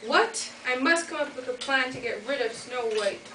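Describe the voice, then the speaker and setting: A teenage girl playing a vain, scheming witch in a school play, with a theatrical, drawn-out delivery. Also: sits high, expressive. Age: teens. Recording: echoey room from far off, noisy.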